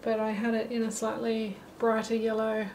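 Gravelly-voiced woman teaching watercolour. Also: fairly high pitch, normal speed, a little hiss or hum, low-quality recording. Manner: relaxed, thinking aloud while painting. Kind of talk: painting tutorial narration. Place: holiday apartment, at a table indoors. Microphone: camera mic above the table.

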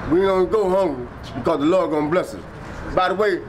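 A middle-aged man speaks insistently in a high voice, stressing his points and checking in with "you feel me." He is recorded outdoors under a building overhang, with clear street noise around him. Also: quick pace. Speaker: interviewee. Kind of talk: casual street talk.

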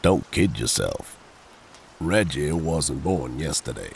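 A man playing a gruff, blunt old man with a gravelly voice and a folksy way of talking in an audio drama. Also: rumbling low, very animated, slow, full character voice. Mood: grim, blunt. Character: gruff, plain-spoken old man, friend of a king.

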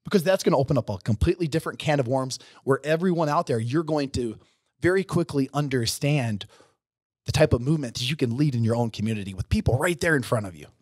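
A man's voice: medium pitch, lively intonation, fast, husky, no room sound. A man in his forties co-hosting a business podcast, talking emphatically like a coach giving a pep talk, on a studio mic in a gym. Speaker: podcast co-host. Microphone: studio mic on boom arm, headphones.

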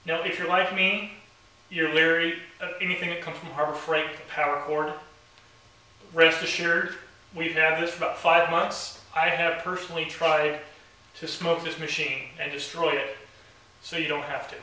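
Young man, plainspoken with dry humor, bright voice, heard from far off in a booming garage. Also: flat delivery, normal speed. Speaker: company owner demonstrating a product. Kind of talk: product demo talking to camera. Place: garage workshop, indoors. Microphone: camera mic from across the room. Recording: very echoey garage, heard from a distance.

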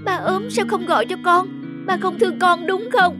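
Young daughter character, high voice, reproachful and fired up, cartoon drama. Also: female voice, moderate pace, over music. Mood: worried reproach. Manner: reproachful, emphatic. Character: indignant, protective young daughter.

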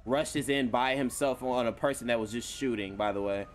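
A man's voice: medium pitch, normal speed, a little hiss or hum. Sarcastic, grumbling male game streamer. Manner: sarcastic, complaining, slangy. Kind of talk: casual profane streaming commentary during online roleplay game.

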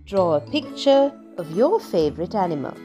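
A woman narrating a scripted children's word list and quiz questions in deliberate, separated phrases. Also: fairly low pitch, very animated, over music.

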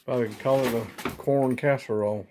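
A man's voice: mid-range voice, steady tone, fast talker, noisy background. A man with an Appalachian accent, joking dryly from behind the camera in a home kitchen. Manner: dry, joking, folksy.

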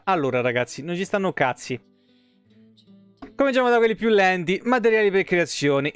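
A man, live streaming casually in slangy, foul-mouthed talk and reading game lore aloud. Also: high-pitched. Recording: music playing behind the voice. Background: soft tavern guitar music.

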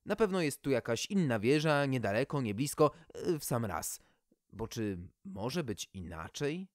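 Storybook knight: slow, dim and bewildered, with stumbling courtly manners , read by a man. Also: medium pitch, big pitch swings, dry recording, clean studio sound. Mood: doubtful. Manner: hesitant, pompously courtly.

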